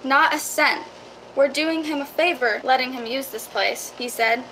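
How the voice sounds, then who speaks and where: Radio drama property seller played by a woman: bright, high, chatty, persuasive, folksy. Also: moderate pace, slight background noise, rough recording. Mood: eager, reassuring. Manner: eager, reassuring, folksy salesmanship.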